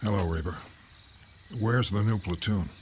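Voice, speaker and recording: An actor playing a stubborn army captain who gives firm, clipped commands in a classic radio drama. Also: male voice, on the low side, normal speed, a little mellow, a little hiss or hum, lightly acted. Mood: wary. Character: stubborn army captain guarding missing men.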